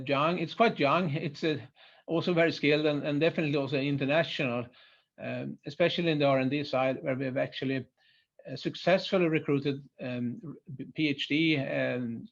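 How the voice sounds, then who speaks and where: A man's voice: on the high side, gravelly. A man with a Swedish accent presenting his company's site in a webinar, hesitant, with many fillers and restarts. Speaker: site manager presenting a chemical plant. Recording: muffled lo-fi webinar audio.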